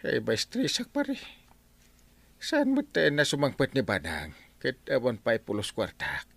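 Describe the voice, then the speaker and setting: An actor with an Ilocano accent playing a stressed, broke village captain in a radio comedy drama, complaining with exaggerated exclamations. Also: male voice, medium pitch, huge pitch swings, a little slow, creaky, a bit bright, no room sound, exaggerated voice. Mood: desperate, whining.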